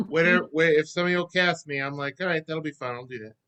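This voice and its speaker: A man's voice: on the high side, quick pace, pushed and bright, smooth, highs cut off. A man, an actor, answering questions conversationally as a guest on a video call.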